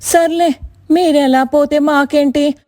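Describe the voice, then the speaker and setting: A high, dry-sounding voice of an angry village woman, acted by a woman for a cartoon moral story. She is taunting and sarcastic, scolding like a customer who has been let down. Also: steady tone, slow pace, warm tone, no room sound. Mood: scornful scolding. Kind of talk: animated moral story dialogue.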